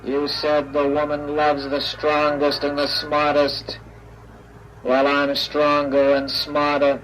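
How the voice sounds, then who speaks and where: An actor playing a childlike male robot who speaks in a flat, slow monotone, in a 1950s radio drama. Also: on the high side, soft background music. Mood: menacing. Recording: lo-fi old radio broadcast.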